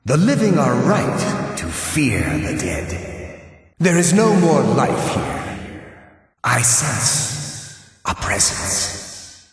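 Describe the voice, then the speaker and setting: Game role: haughty undead spirit, slow and ominous, swooping pitch, drenched in distant echo. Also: male voice, big pitch swings, soft background music. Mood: menacing. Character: menacing male undead ghost, haughty and solemn. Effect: ghostly reverberation. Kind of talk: video game character dialogue. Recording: cavernous long reverb, distant.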